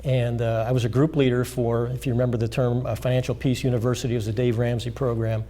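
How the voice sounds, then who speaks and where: A man being interviewed as a financial planner, explaining in a measured way with frequent pauses and fillers. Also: mid-range voice, little pitch movement, warm tone. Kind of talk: interview answers. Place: high school TV studio.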